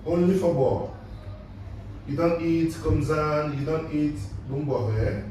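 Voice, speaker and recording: A man's voice: fairly high pitch, fairly even intonation, measured pace, warm tone. Echoey, distant male English teacher examining. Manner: prompting, repeats questions and answers. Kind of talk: oral exam questioning, slow and simple classroom speech. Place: small indoor test room. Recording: echoey room, distant mic, noisy.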